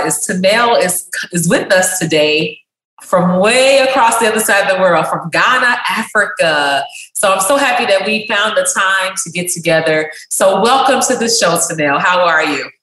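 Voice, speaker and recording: A woman hosting a podcast, warmly effusive as she introduces and questions her guest. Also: lowish voice. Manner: upbeat, effusive welcomes. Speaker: podcast host. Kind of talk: podcast interview. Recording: roomy echo.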